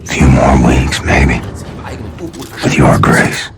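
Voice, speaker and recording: A man acting a gravely wounded, weary soldier hero in a video game, murmuring haltingly. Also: very deep voice, level tone, heavily acted. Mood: resigned, weary. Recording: game audio with music behind.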